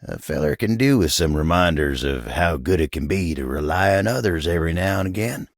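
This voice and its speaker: An actor voicing a gentle, folksy living table in a video game, with a gravelly American Southern drawl and a humble, earnest manner. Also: male voice, low voice, animated, brisk, no room sound, clean studio sound. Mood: shy, grateful. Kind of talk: video game character voice acting.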